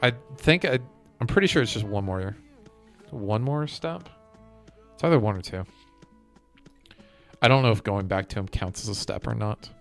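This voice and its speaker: A man's voice: on the low side, expressive, normal speed, creaky, pauses often. A man streaming a game, chatting casually and thinking aloud to his viewers. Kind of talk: casual chat while playing a game. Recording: music behind the voice.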